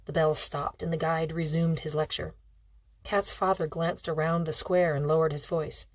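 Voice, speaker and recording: Lowish-voiced woman reading a story, dry. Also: flat delivery, normal speed, dry recording. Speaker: audiobook reader. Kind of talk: scripted story narration.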